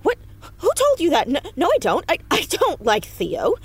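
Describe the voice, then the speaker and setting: A woman playing an anxious, defensive, bookish fourteen-year-old girl in an audio drama, stammering and halting. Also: high voice, wildly swooping pitch, full character voice. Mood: flustered, defensive. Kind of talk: naturalistic audio drama acting.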